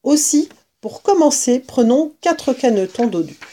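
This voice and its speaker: A woman's voice: sits high, lively intonation, a little slow, mellow, pitched above the performer's own voice. Woman doing a jovial scheming fox dad. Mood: greedy delight. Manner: exuberant, commanding.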